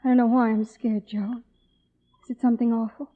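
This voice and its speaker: A woman's voice: fairly high pitch, flat delivery, brisk, lightly acted. An actress playing an anguished, pleading woman in love, with dramatic, emotional 1950s radio melodrama delivery. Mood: anxious, hurt. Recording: tinny vintage radio drama recording.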